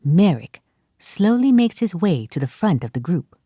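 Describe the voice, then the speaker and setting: A woman narrating an audio drama in a calm, measured way. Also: on the low side, slightly rough, no room sound, clean studio sound.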